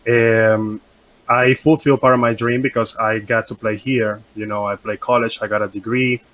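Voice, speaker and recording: A man's voice: on the low side, poor audio. Venezuelan-born man telling his story. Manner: halting, many ums and restarts, relaxed. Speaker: guest. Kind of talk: casual interview storytelling.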